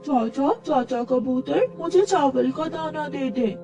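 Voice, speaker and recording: A woman voicing an eager, friendly little male bird child in a cartoon story, pleading and repeating words excitedly. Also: high voice, takes it slowly. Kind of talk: Hindi cartoon story acting. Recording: distant mic with music behind.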